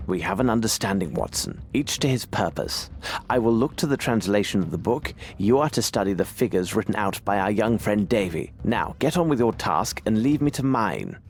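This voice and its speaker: An aloof detective for a video game. His voice sits fairly low and moves with animated intonation. He speaks formally and commands everyone around him. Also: moderate pace, a little hiss or hum. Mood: stern, dismissive. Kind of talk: video game cutscene acting.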